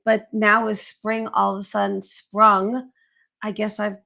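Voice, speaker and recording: A woman's voice: medium pitch, level tone, a little slow, creaky, pushed and bright. Middle-aged woman, froggy allergy-cracked voice, chatty live-sale co-host, easygoing. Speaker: co-host of live shopping show. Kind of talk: casual live-stream chat.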